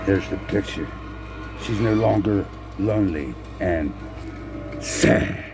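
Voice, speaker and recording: A man reading a children's picture book aloud in a halting way, repeating words and slipping in playful asides to the listener. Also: low voice, over music. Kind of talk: storybook read-aloud with casual asides.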